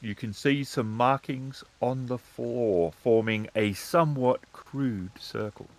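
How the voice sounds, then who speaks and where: A male storyteller sets scenes for a tabletop roleplaying game over rain ambience. His voice is of medium pitch and his pace is very slow, giving out description piece by piece.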